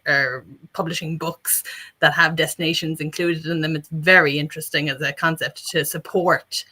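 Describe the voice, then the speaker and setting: A woman's voice: sits low, pushed and bright. A woman speaking as an expert on a panel, explaining her views off the cuff in an earnest way. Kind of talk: unscripted panel discussion.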